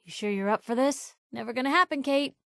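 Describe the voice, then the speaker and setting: An actress voicing a plucky young woman reporter in a video game, wry, colloquial and expressive, with a conscience behind the wisecracks. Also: sits high, quick pace, creaky, dry recording, clean studio sound. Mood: teasing. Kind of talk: video game companion voice lines.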